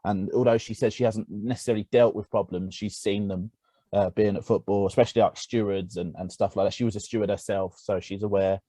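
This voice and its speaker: A man co-hosting a podcast in casual conversation, speaking haltingly with many restarts. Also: fairly low pitch, fairly even intonation, mellow, no room sound. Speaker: podcast co-host.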